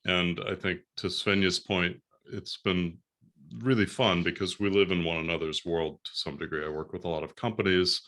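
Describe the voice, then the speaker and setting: A man, a professor, speaking on a video call panel in a friendly, lively way. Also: low-pitched, moderate pace.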